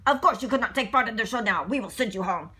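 A woman's voice: a little high, animated, fast, bright, forceful tone. A woman reading the part of a stern male circus director in a storybook, bossy and admonishing. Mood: angry, scolding.

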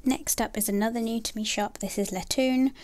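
A young woman chatting casually about planner stickers, soft and gushing, with many ums and likes. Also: a little high, steady tone, a little fast. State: has a bad cold, nasal and throaty. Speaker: planner sticker haul vlogger. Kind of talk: casual haul chat. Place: indoors at a table, filming her hands.

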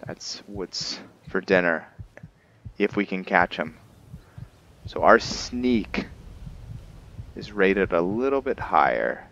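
A man's voice: sits low, lively intonation, takes it slowly, gravelly, pauses often, fairly bright tone, highs cut off, a little hiss or hum. A man giving casual roleplay commentary on a video game, musing and thinking aloud as he plays. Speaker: gaming video host and player. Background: game music.

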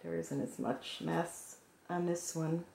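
A woman with a hoarse, froggy voice calmly talking through a craft painting tutorial at her work table. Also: lowish voice, takes it slowly. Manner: calm, gentle instructional talk while working. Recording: echoey room with faint noise.

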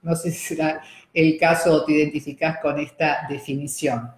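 A woman's voice: low voice, little pitch movement, normal speed. A middle-aged woman with an Argentine accent hosting an interview and asking hesitant questions with fillers, seated at a table in a room. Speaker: host. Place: room with wooden table and screen. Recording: roomy echo.

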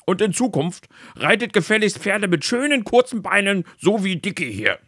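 A man voicing a hot-tempered fairy-tale king who booms out orders in a bedtime story. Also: sing-song, unhurried, creaky, bright, forceful tone, breathy edge, no room sound, clean studio sound, full character voice. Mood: commanding. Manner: booming, commanding.

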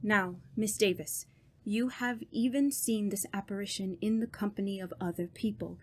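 A woman playing a grave, authoritative doctor of the supernatural in a horror radio drama, speaking deliberately and giving clipped orders. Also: medium pitch, close to an everyday voice. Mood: grave, probing. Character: commanding, grave female doctor investigating the supernatural. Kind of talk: old-time radio horror drama acting.

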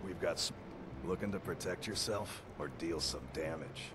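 Guy voicing a fantasy game arms dealer, low voice, noisy background. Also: moderate pace, lightly acted. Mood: businesslike. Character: male weapons merchant offering arms. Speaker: game character. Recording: noisy, distant game audio.

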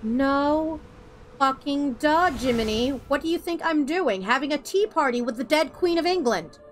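Sarcastic high-voiced woman gaming streamer. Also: expressive, normal speed. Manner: sarcastic, swears at enemies, talks to the game. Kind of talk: ranting let's-play commentary.

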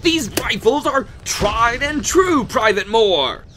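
A man's voice: very high-pitched, animated, takes it slowly, background noise, heavily acted. A man playing an exasperated, stubborn army general in a comedic radio play, barking indignantly and emphatically. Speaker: actor in audio drama.

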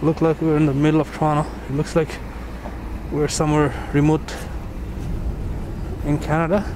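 A man giving relaxed, musing commentary on a walking tour outdoors on a city street, pausing between his remarks. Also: on the high side. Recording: street traffic noise outdoors. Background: traffic and street ambience.

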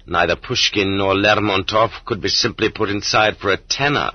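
A man reading a literary audiobook in an expressive, rhetorical manner. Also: lowish voice, moderate pace, no room sound. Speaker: audiobook narrator. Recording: slightly dull audiobook transfer from cassette.

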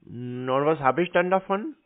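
A man's voice: on the high side, lively intonation, moderate pace. A man chatting casually on the phone, asking needling questions in a mock-naive manner. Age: adult. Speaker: prank caller to scam call centres. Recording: band-limited phone call audio.

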